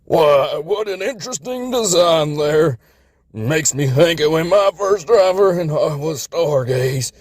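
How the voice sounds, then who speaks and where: A man voicing an old male locomotive, the first on his line, who rambles slowly into old memories. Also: a little high, lively intonation, dry recording, studio quality, voice pushed up. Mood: nostalgic. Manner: rambling, drawn-out, talks to himself.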